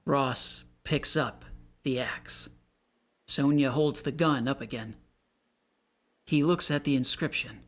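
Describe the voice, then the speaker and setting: A man's voice: medium pitch, slow pace, pauses often. A man reading stage directions as scripted narration for a play.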